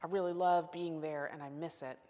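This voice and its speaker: A woman in her forties giving a sermon as guest preacher, earnest and self-deprecating, moving between emphatic lines and hushed asides. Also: low-pitched, fairly even intonation, brisk. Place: church sanctuary. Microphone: lapel mic. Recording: long church-hall reverb.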